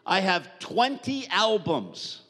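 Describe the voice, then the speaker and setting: Middle-aged male preacher, light higher voice, jovial, hall reverb. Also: huge pitch swings, bright, forceful tone. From Canada. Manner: jovial, joking, pausing for translation. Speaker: visiting preacher. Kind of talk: sermon with live interpreter. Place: church hall.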